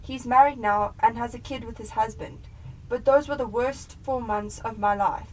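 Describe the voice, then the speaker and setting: A woman's voice: fairly high pitch, steady tone, moderate pace, darkish tone, close to an everyday voice. A young South African woman playing an earnest, emotionally invested police detective in a radio drama. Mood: troubled, confiding. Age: young adult. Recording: distant mic, noisy room ambience.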